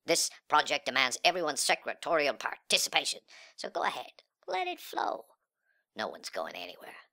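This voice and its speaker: A man performing a dramatic monologue as a sullen, cocky, nihilistic young slacker, with breathy, slangy, sneering delivery that swings between muttering and outbursts. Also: mid-range voice, bright, forceful tone, heavily acted. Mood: cocky, agitated. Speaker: reader of a literary monologue. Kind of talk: dramatic first-person monologue in slangy speech.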